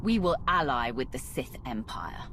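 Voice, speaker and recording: A woman's voice: very deep voice, expressive, normal speed, fairly bright tone, a little hiss or hum, full character voice. An actress playing a ruthless, imperious woman commander in a video game, speaking with cold, commanding menace. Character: ruthless, imperious female Sith commander of a fleet. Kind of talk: video game cutscene acting.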